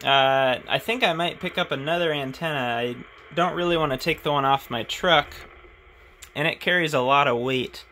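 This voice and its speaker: A man thinking aloud in a relaxed way as he tests his CB radio in a garage. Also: on the high side, moderate pace, slight background noise. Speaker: radio hobbyist demonstrating his CB radio. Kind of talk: casual hobby video narration. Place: home garage.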